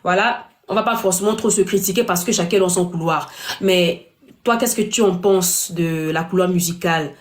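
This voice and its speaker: A woman's voice: lowish voice, normal speed. A woman hosting a casual panel show, often repeating and rephrasing as she puts questions to her guests. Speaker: host of a web talk show. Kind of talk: casual panel discussion, asking guests for opinions.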